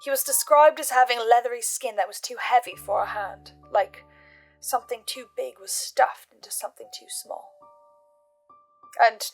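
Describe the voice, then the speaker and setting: A woman hosting a recap show, laying out her notes and theories in a deliberate, measured way with dramatic pauses. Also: on the high side. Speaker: host of a podcast listener's reaction and theory show.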